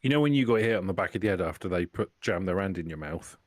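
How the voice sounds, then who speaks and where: A man's voice: low voice, lively intonation, quick pace, no room sound, studio quality. A man playing a terse, offhand vampire in a tabletop game, grumpy by reputation but mild, with a folksy way of talking. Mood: deadpan. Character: laconic male vampire nicknamed for grumpiness, mild underneath.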